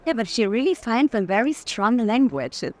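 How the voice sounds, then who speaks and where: A woman in casual, reflective conversation about art, speaking haltingly with plenty of filler "like". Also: fairly high pitch, big pitch swings, darkish tone. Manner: halting, frequent use of "like". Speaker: curator in conversation. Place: art fair. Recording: noisy fair hall ambience.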